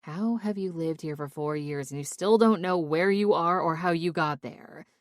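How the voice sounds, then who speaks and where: A woman's voice: low voice, normal speed, dry recording, studio quality, natural voice. Woman acting an exasperated, scolding male friend. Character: exasperated sober man minding his drunk friend.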